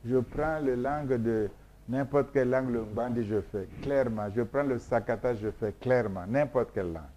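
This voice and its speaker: A man's voice: mid-range voice, moderate pace, mellow, a little hiss or hum. A man whose first language is Mboshi, from Congo, explaining his research in an interview in an emphatic, repetitive, lecturing way with frequent hesitations. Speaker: scholar being interviewed.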